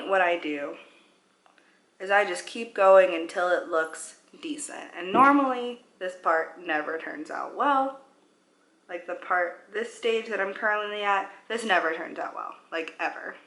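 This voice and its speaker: A woman's voice: mid-range voice, pushed and bright. A young woman in her twenties chatting through a makeup tutorial at home, rambling and poking fun at herself as she works. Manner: rambling, self-deprecating, talks while applying makeup. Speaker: vlogger. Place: living room at home, indoors. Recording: echoey room.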